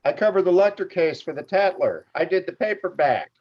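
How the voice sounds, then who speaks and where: A man's voice: high-pitched, lively intonation, a little fast, muffled recording. A man acting a small, eager tabloid reporter who pesters insistently, in a table read. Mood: pushy, eager.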